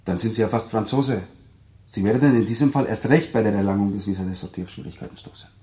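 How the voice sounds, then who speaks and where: A man acting a brisk, dryly ironic consular official, formal and instructing, in a German radio drama. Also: fairly low pitch, mellow, echoey room, lightly acted. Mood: officious.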